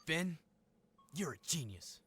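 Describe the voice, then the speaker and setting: A man's voice: on the high side, sing-song, slow pace, bright, forceful tone, husky, exaggerated voice. An actor playing a young male game hero, casual and coaxing. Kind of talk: video game cutscene acting.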